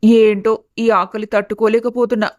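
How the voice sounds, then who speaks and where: A woman playing a grumbling, whiny middle-aged mother-in-law in an animated family story. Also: fairly high pitch, moderate pace, dry recording, lo-fi sound, close to an everyday voice. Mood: hungry, wistful. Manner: whiny, complaining.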